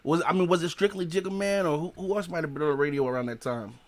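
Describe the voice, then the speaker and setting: A man's voice: on the high side, fast, no room sound. Slangy man hosting a podcast. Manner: laid-back slangy talk, many "you know what I'm saying" fillers. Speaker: podcast host. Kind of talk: casual interview.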